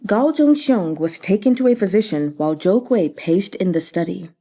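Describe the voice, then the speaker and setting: A woman reading a novel aloud as narrator, in a measured storytelling manner. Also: mid-range voice, expressive, mellow. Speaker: audiobook reader.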